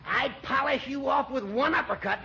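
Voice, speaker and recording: An actor playing a crotchety, combative old judge with a creaky voice and a needling manner, in 1940s radio comedy. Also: male voice, very high voice, normal speed, sharp tone, exaggerated voice. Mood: combative, peevish. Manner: needling, peevish. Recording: noisy, muffled 1940s radio broadcast.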